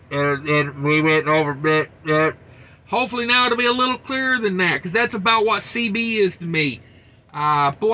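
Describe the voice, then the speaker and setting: A man's voice: high voice, pushed and bright. A man hosting a trucking news show, reading stories and rambling into joking asides. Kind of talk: casual news commentary.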